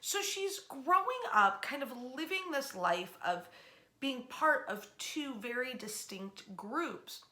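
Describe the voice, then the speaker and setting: A woman's voice: sits high, sing-song, takes it slowly, pushed and bright. A woman telling history informally to an audience online, conversational, enthusiastic and emphatic in her delivery. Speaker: history storyteller and tour club host. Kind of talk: informal storytelling history lecture to camera. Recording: roomy, echoey room sound.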